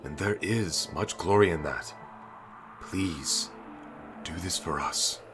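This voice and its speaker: A man playing a stern, authoritative fantasy knight captain who gives clipped orders with a gruff but fatherly air. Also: low-pitched, takes it slowly. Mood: grave, persuasive. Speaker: military commander. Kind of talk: fantasy audio roleplay acting. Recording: orchestral game music behind.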